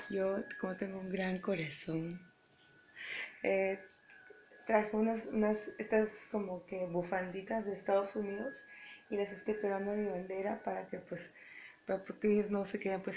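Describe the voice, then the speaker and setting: A woman in her thirties from Peru, chatting casually and softly to her phone, tired, with her voice going. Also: mid-range voice, a little hiss or hum. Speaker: pilgrim. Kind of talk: casual vlog. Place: hotel room, indoors. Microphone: phone camera at arm's length.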